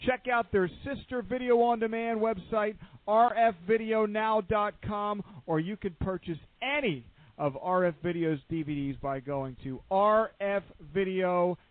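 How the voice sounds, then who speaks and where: Guy doing a dvd ad read, high voice, dry tinny sound. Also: a little slow, dry recording. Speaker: ad announcer. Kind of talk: scripted radio commercial read. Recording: dry tinny phone-like audio.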